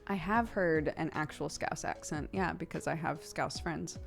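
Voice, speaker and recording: A woman's voice: sits low, normal speed, vocal fry, soft background music. A woman in her thirties streaming from home, chatting casually with her viewers, giggling often and swearing offhandedly.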